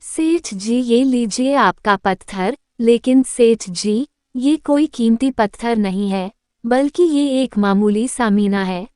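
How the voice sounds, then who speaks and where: Sensible cartoon village wife female actor, assured and firm, crisp voice, dry studio-close sound. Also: fairly high pitch, takes it slowly, darkish tone, no room sound. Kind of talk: Hindi cartoon story dialogue.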